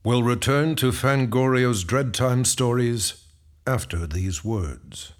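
A man announcing a radio drama program in a measured, formal delivery. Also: medium pitch. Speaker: host and announcer. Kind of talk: scripted announcing. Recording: music behind.

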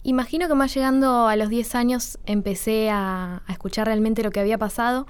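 A woman, answering calmly and earnestly as a guest in a radio studio. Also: on the high side, brisk, clean tone. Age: 20s. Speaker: interview guest.

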